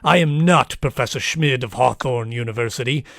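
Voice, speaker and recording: A man acting a megalomaniac villain posing as a professor in an old-time radio play, speaking in a deliberate, grandiose, theatrical manner. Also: mid-range voice, gravelly, fairly bright tone, a little hiss or hum. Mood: gloating menace.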